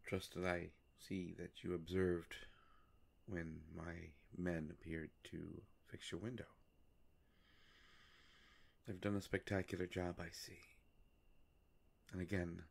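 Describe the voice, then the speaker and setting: Male actor as melancholy male vampire, slow deliberate delivery, gravelly fry, formal, gentlemanly, halting pauses, intimate audio roleplay. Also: exaggerated voice. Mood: apologetic, hesitant. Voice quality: gravelly, creaky low voice. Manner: polite, hesitant, formal, with long pauses. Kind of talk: scripted roleplay audio drama addressed to the listener.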